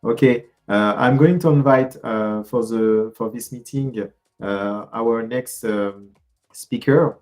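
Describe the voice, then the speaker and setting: A man moderating an online event over a video call, halting and filling pauses with many fillers. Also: medium pitch, a little mellow, soft background music. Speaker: host and moderator.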